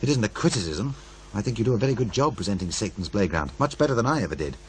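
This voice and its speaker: Wry, needling radio producer, lively intonation. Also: male voice, on the low side, very fast, slight background noise. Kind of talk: radio drama dialogue.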